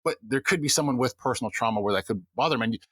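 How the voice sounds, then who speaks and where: A man's voice: medium pitch, rapid-fire, fairly bright tone, slightly rough, no room sound. A man who runs a podcast game, talking casually on a panel and acting out the questions he asks his players.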